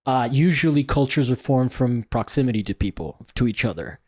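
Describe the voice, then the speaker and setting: Inquisitive man hosting, halting delivery. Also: medium pitch, animated, darkish tone. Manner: inquisitive, halting, rephrases questions. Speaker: podcast host. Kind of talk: casual conversational interviewing.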